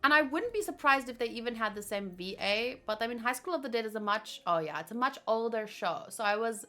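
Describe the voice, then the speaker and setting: Chatty young woman reviewer. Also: on the high side. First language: Afrikaans. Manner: rambling, quick, with bursts of excitement. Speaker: anime reaction vlogger. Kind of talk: casual review chat.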